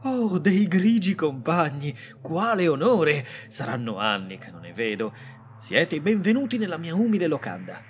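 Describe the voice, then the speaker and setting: A man playing a welcoming innkeeper in an amateur radio drama, with an effusive, lively delivery. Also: fairly high pitch, moderate pace. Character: welcoming male innkeeper, eager host.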